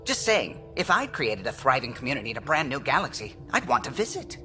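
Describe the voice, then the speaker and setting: An actor voicing an eager, excitable male alien starship pilot in a video game, with a chirpy, teasing manner. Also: medium pitch, brisk, over music, lightly acted. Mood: eager, playful. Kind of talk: video game cutscene acting.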